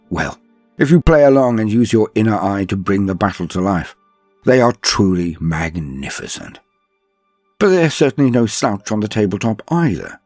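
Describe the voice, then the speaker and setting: A man with a husky voice giving scripted lore narration in a florid, theatrical, formal manner. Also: lowish voice, darkish tone, dry recording. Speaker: narrator and host of a lore guide.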